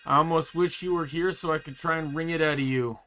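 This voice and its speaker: A man's voice: on the high side, steady tone, a little fast, a bit bright, faint music behind, lightly acted. A man voicing a pompous, blustering old king and exasperated father in a video game, with old-fashioned exclamations. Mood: gruffly pleased, scornful.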